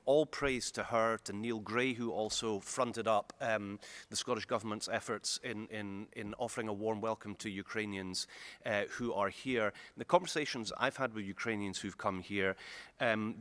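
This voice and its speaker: A man's voice: on the low side, unhurried, fairly bright tone, no echo. A man whose first language is German, speaking personally and with heartfelt restarts on a conference panel. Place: conference hall.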